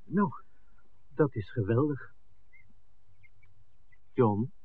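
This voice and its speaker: An actor playing an impatient, pragmatic film maker in a 1960s radio drama, blunt and insistent. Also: male voice, mid-range voice, animated, extremely slow, breathy edge, heavily acted. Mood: exasperated, persuasive. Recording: tinny, noisy 1960s radio recording.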